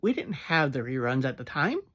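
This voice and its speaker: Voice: mid-range voice, very animated, normal speed, fairly bright tone. A fan in their thirties or forties giving a casual, chatty spoken review, laughing now and then.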